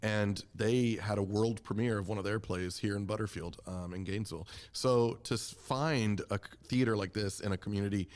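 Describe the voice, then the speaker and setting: Male podcast guest, low-pitched voice, relaxed interview-style conversation. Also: fairly quick, hardly any pauses. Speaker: community theater member, podcast guest.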